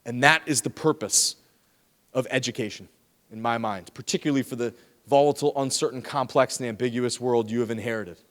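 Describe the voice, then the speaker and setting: A man giving a lively, teasing lecture to students in a large hall, speaking into a handheld microphone. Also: medium pitch, brisk. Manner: lively, teasing, talks straight to the audience. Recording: long hall reverb.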